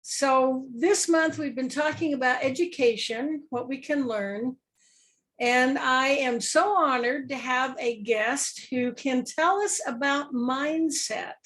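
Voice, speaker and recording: A woman hosting a parenting podcast, opening the show and interviewing a guest over a video call, deliberate and stretching key words. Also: sits high, fairly bright tone. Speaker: podcast host.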